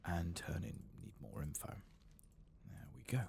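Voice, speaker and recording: A man giving soft-spoken ASMR commentary while gaming, murmuring and drifting into whispers. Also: low-pitched, wildly swooping pitch, unhurried, creaky, a little hiss or hum. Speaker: gaming streamer, ASMR host.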